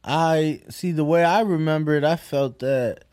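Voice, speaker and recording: A man co-hosting a casual podcast, upbeat and friendly, giving shout-outs and recounting the day. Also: on the high side, a little slow. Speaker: podcast co-host. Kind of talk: casual boxing chat.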